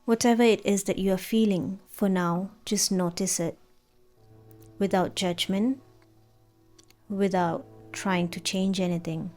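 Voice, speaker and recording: A woman narrating a guided meditation in a gentle, soothing, unhurried manner. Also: mid-range voice, fairly even intonation. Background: background music.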